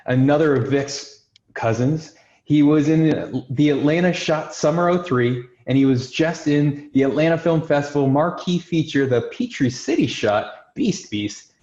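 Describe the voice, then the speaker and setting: A man's voice: medium pitch, a little mellow. Man narrating in a roomy space. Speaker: host and stage-direction narrator. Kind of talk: scripted introductions and screenplay narration. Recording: roomy, dull lo-fi sound.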